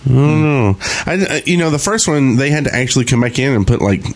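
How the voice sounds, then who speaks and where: A man with a mid-pitched voice chats as co-host of a gaming podcast. He is wry and teasing, and rambles through his explanations. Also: expressive, a little fast. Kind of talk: casual gaming podcast chat.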